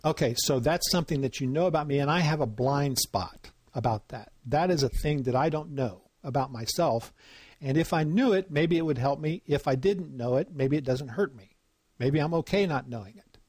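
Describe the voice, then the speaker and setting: A man's voice: fairly high pitch, moderate pace, a little mellow, husky, no echo. A man giving an informal lecture, talking in a conversational way with lots of fillers and asking "right?" to check in. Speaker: instructor.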